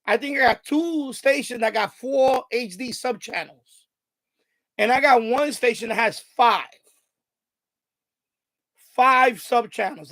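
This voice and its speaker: A man in his fifties with a heavy Bronx accent, chatting animatedly on his radio hobby live stream. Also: creaky. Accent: Bronx New York. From born in Puerto Rico, raised in the Bronx. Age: 50s. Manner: animated, high intensity, worked up. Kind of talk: casual live stream chat.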